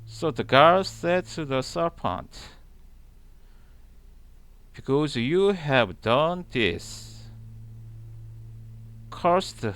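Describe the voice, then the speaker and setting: A man reading scripture aloud in a deliberate manner, pausing between short, halting phrases. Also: a little high, animated, a bit bright, clean tone. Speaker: channel host reading the Bible aloud. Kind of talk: slow scripture read-aloud.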